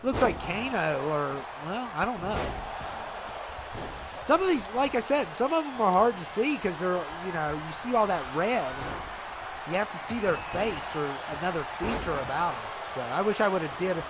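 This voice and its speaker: A man's voice: high-pitched, moderate pace. A man casually commentating on a video game match, chatty and reactive, a little congested from allergies. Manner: relaxed, chatty, reacts to the action. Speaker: gameplay commentator. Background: loud game crowd and noise.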